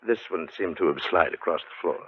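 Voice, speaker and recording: An actor playing a stout elderly gentleman, outwardly kindly and faintly sinister, polite and formal in a 1940s radio drama. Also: low voice, fairly quick, husky. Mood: courteous. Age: older. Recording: tinny 1940s radio recording.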